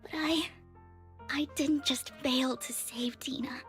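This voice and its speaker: An actress playing an anguished, guilt-ridden young woman in a video game, soft and breathy as she confides. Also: high voice, steady tone, slow pace, faint music behind. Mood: self-blaming sorrow. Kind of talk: dramatic video game voice acting.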